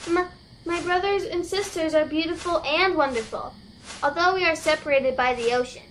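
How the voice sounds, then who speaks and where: Woman acting a very high-pitched, pestering teenage girl. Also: moderate pace, slight background noise, poor audio. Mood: boastful. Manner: childishly inquisitive, insistent. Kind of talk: amateur audio drama acting.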